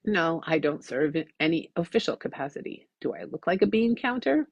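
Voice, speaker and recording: A woman's voice: low-pitched, lively intonation, a little fast, slightly rough, no echo, muffled recording, full character voice. A woman voicing a big cheerful man in an audiobook, genial, sly and unconcerned. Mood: breezy.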